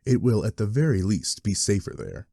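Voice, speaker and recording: Low-pitched, animated male narrator. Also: normal speed, noisy background. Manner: wry, dry asides. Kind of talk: scripted second-person narration of a webcomic fan dub. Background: music.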